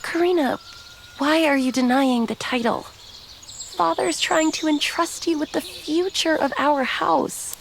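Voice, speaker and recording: A woman playing a gentle, dutiful noble elder sister in an anime-style game, polite and composed. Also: sits high, a little slow, creaky, slight background noise.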